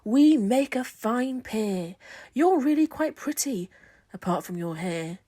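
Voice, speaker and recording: A woman's voice: a little high, lively intonation, slow pace, mellow, dry recording. Woman putting on a pompous, boastful male scarecrow. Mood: smug, forward. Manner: pompous, showy. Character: boastful, pushy, dashing male scarecrow rival.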